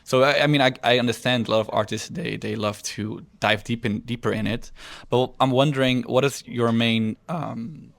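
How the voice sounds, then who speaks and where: A man co-hosting a casual podcast interview, asking questions in a halting way, often repeating words and restarting sentences. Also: medium pitch, fairly even intonation, creaky. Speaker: podcast co-host.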